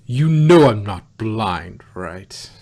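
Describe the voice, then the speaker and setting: A man's voice: on the low side, animated, drawn-out words, darkish tone, full character voice. A man voicing a regal female pony princess who is breezy, teasing and stubborn, in an acted fan fiction story. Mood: stern, dry. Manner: teasing, dry, commanding.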